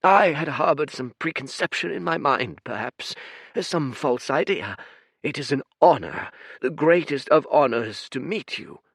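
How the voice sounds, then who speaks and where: Storybook old man, humble and formal, talks up high with courtly politeness, voiced by a male narrator. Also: expressive, a little slow, fairly bright tone, husky, dry recording, exaggerated voice. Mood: courteous.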